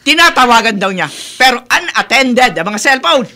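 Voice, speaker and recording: Sharp, domineering disapproving mother. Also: a little high, very animated, normal speed, heavily acted. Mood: stern. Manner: scolding, mixes Tagalog with English. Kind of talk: Philippine radio soap opera acting.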